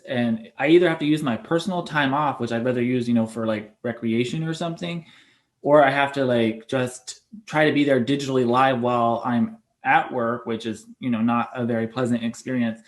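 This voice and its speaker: A man's voice: mid-range voice, steady tone, moderate pace. Man hosting an activist panel discussion.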